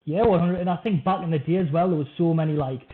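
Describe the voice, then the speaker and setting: A man's voice: on the high side, fast. A man from North East England with a local accent, hosting a casual podcast chat in an informal, enthusiastic way. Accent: Wearside/Tyneside, from Washington. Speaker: podcast host. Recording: tinny phone-like line.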